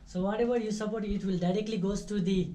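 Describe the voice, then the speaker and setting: A woman in her early twenties from Nepal with a Nepali accent, explaining things to visitors informally in halting, non-native English. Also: mid-range voice, steady tone, warm tone. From Nepal, near the Indian border. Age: early 20s. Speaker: orphanage staff member. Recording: noisy amateur video sound.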